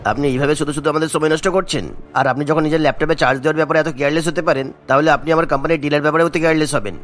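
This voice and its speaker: A demanding cartoon business client, acted by a man, formal and curt. The voice is low and quick, over faint music. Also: steady tone, fairly bright tone, lightly acted. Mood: displeased.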